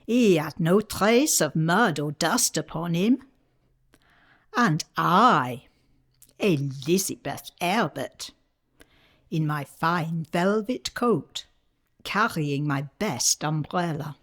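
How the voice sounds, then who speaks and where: Woman playing a slow-spoken French widow, wistful. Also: low-pitched, expressive, heavily acted. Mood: fond, wistful. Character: grieving French widow of the 1890s, tender and dignified. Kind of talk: radio play monologue.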